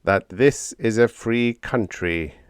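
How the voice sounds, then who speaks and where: A man voicing a polite, solemn, smug android rebel in an audiobook, speaking in a measured way. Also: on the low side, smooth, no echo, studio quality. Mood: polite, complacent. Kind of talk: audiobook character dialogue.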